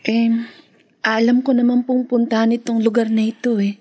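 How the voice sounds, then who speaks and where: A woman's voice: a little high, level tone, moderate pace, warm tone, close to an everyday voice. An actress playing a timid, nervous young bar girl in a radio drama, hesitant and pleading.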